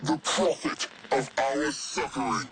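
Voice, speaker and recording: A man's voice: medium pitch, steady tone, slow. A man playing a menacing rebel making a declamatory threat broadcast through a radio filter in a video game. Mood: menacing, solemn. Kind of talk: video game villainous proclamation. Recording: very noisy, crackling radio transmission.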